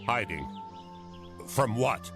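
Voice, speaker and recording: A man's voice: medium pitch, animated, takes it slowly, gravelly, music in the background. A man playing a wry, irreverent Chinese sailor and loyal sidekick in a video game cutscene, with a dry, sardonic delivery. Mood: businesslike, wary. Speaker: game character, captain's companion.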